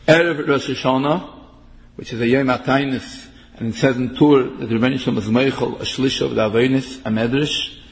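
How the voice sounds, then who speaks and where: A man's voice: medium pitch, creaky. A man giving a sermon, mixing in Hebrew terms and half-chanting verses. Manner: English mixed with Yiddish and Hebrew terms, chanting some verses. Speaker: rabbi giving a Torah talk. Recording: long hall reverb.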